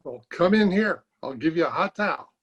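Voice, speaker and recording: Man as a husky, chummy barber. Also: a little high, expressive, a little fast, lo-fi sound. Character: easygoing, chummy small-town barber. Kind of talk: old-time radio comedy acting.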